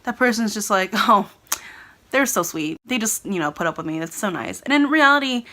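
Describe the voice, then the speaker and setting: A woman's voice: medium pitch, lively intonation, normal speed, bright, forceful tone. A young woman from California, raised speaking Tagalog first, giving earnest and rambling commentary to her vlog camera. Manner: earnest, rambling, often laughing and restarting. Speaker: vlogger. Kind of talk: casual talking-to-camera commentary.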